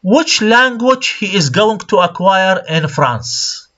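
A man with an Iraqi Arabic accent teaches in a recorded online lecture. He speaks slowly and his pitch swings widely as he stresses words. He repeats key points and asks rhetorical questions. The sound is dull and lo-fi, like a screen recording. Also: expressive, bright, forceful tone. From Iraq.

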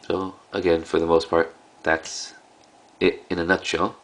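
Guy w deep creaky voice showing off stuff casually, choppy offhand phrases, homemade vid. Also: little pitch movement, fairly bright tone. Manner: halting, offhand delivery in short phrases. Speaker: video host, collector. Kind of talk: casual product showcase. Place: indoors in a room at home. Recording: clearly noisy home recording.

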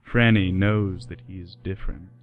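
A man's voice: low-pitched, steady tone, normal speed, narrow, tinny audio, soft background music. A man narrating a scripted radio play in the present tense.

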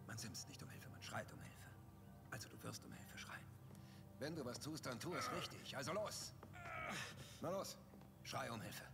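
A man's voice: mid-range voice, animated, normal speed, gravelly, long pauses, over loud music. An actor playing a flamboyant, cruel dictator who mocks his captive in a theatrical, sing-song way, in a dubbed game cutscene. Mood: mocking.

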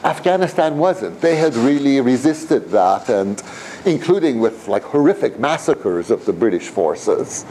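An older man born and raised in Iran, telling his life story to a lecture room, relaxed and rambling, with lots of fillers and restarts. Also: medium pitch, measured pace, a little hiss or hum, low-quality recording. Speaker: guest speaker. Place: lecture room with projector screen.

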